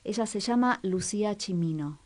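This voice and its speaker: A woman co-hosting a casual radio program, talking in a relaxed way with hesitant fillers. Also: medium pitch, normal speed, slight background noise.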